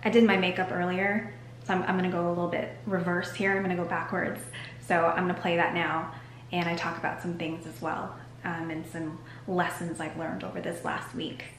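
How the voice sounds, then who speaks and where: A woman in her thirties talking to the camera in a casual, reflective vlog from her bedroom, sometimes dropping to a soft near-whisper. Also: on the low side, little pitch movement, brisk. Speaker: vlogger. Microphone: camera mic at arm's length. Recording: echoey room with faint hiss.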